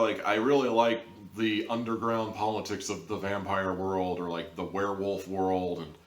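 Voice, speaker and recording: A man's voice: lowish voice, a bit bright. A young man giving a casual, rambling review to camera in an informal way, with some theatrical emphasis. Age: young adult. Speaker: reviewer, show host. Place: small living room, indoors. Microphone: camera a few feet away. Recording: roomy echo, distant camera mic.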